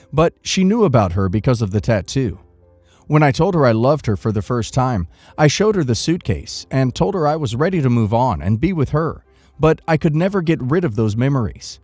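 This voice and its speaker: A man narrating first-person online stories from a script in an expressive reading manner. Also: medium pitch, no room sound.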